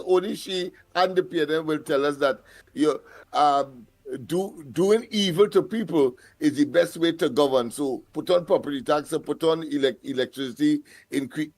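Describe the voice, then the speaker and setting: A man with a Trinidadian accent giving political commentary as a talk show host, speaking in a wordy, formal, halting and deliberate way. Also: a little high, a little mellow, no room sound.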